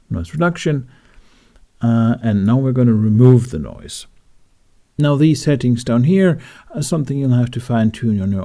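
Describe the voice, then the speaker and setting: A man talking through a software tutorial in a casual, informal way with wry asides. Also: fairly low pitch. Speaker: tutorial host.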